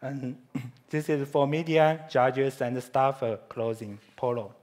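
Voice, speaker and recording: A man with a Chinese accent, a native Chinese speaker, giving a conference presentation in halting English with frequent restarts and self-corrections. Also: mid-range voice, fairly even intonation, a little mellow.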